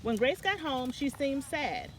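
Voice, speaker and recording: A woman's voice: high-pitched, lively intonation, measured pace, background noise. A woman reading a children's picture book aloud as a story time reader, now and then stumbling and correcting herself.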